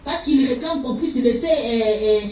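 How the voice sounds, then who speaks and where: A woman's voice: high-pitched, moderate pace, darkish tone. An older woman from Congo, speaking fiercely and repetitively in an interview, sometimes stumbling over repeated words. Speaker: political activist. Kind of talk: impassioned interview answers. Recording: echoey, distant, dull sound.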